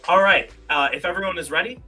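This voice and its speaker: A man's voice: high-pitched, quick pace, low-quality recording. A man hosting a casual live-stream quiz game, reading out questions in a playfully mock-pompous way. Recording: music behind the voice. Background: music.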